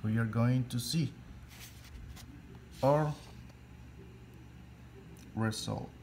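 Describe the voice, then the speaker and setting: A man with a Spanish accent, Spanish his first language, teaching an electrical calculation slowly step by step, halting and restarting phrases as he searches for English words. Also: mid-range voice, creaky, a little mellow, soft background music. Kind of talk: slow step-by-step tutorial.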